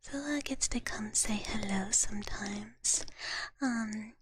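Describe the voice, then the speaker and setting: A woman livestreaming ASMR in a breathy whisper, chatting gently and playfully with her viewers, close to the mic. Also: medium pitch, takes it slowly. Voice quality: breathy, mostly unvoiced whisper. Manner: gentle, playful, speaks of herself in third person. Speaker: livestream ASMR host in a virtual dragon big-sister persona.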